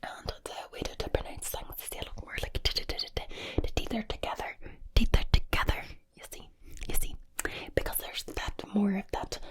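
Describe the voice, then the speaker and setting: A woman voicing a brisk, chatty Irish woman in an ASMR whisper with crisp, front-of-mouth articulation. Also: natural voice. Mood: brisk, enthusiastic.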